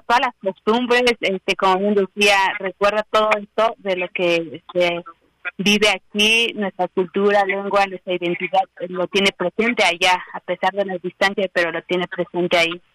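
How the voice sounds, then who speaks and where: A man's voice: high voice, pushed and bright, dry recording, poor audio. A man hosting a radio interview, summarizing his guest's words with frequent fillers. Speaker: radio host.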